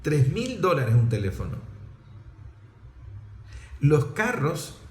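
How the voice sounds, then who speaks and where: A man's voice: a little high, wildly swooping pitch, slow pace, a little mellow. A man with a Venezuelan accent giving explanatory political commentary in an emphatic, didactic way, with rhetorical questions and repetition. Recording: roomy, echoing sound.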